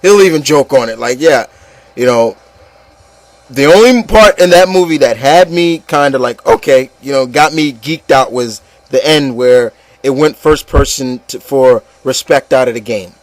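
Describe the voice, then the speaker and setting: A man hosts a casual group discussion in a dry, close recording. His pitch sits in the middle. He speaks emphatically and opinionatedly, circling back and repeating phrases to drive a point home. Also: moderate pace, no room sound, low-quality recording. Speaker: host of an online opinion show.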